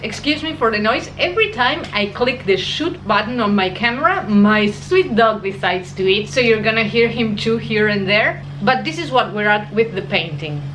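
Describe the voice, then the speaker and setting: A woman's voice: medium pitch, animated, normal speed, few pauses, background noise. A woman from Argentina whose first language is Spanish, speaking English with an Argentine accent as she chats casually through her painting vlog. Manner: chatty, self-deprecating, explains as she goes. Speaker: art vlogger.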